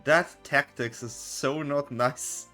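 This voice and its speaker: A man's voice: fairly high pitch, fairly even intonation, slow, sharp tone, faint music behind. A man with an accent that is hard to place, casually talking through a card game video as its host, loose and full of fillers. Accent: unplaced non-native accent. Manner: chatty, loose, with many fillers and restarts. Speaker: content creator and streamer. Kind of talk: casual gameplay commentary.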